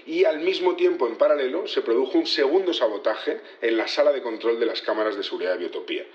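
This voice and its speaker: A man's voice: medium pitch, animated, quick pace, lightly acted. Man acting as security chief on the witness stand, audio drama, polite n a bit hesitant, echoey dull sound. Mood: earnest, explanatory. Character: earnest male security chief testifying in court. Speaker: witness in a trial.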